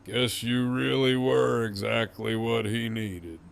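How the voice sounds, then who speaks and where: A man playing a gruff, sardonic former villain in an audio drama, talking slowly and profanely in a gravelly voice. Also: mid-range voice, steady tone. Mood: wry, impressed.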